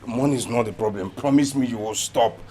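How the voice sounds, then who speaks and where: An actor playing a flustered, henpecked husband in a film drama, repetitive and stammering, with a Nigerian accent. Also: male voice, medium pitch, brisk, a little mellow, a little hiss or hum, lightly acted. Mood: pleading. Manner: stammering repetition when agitated. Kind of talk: Nollywood film drama acting.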